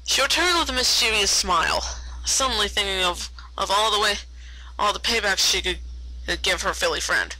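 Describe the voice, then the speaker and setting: A woman's voice: mid-range voice, normal speed, pushed and bright, poor audio. A woman narrating a story aloud as an amateur reader, often stumbling over words and correcting herself. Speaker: amateur fan fiction reader.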